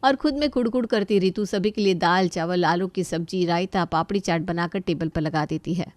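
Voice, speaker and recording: A woman's voice: fairly low pitch, normal speed, darkish tone, dry recording. A woman narrating a scripted family story as its storyteller.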